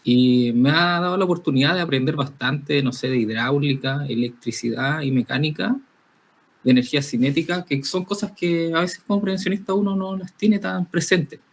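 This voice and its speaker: A man's voice: fairly high pitch, steady tone, normal speed. Candid man from Spain. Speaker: guest, safety professional. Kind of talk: casual interview talk.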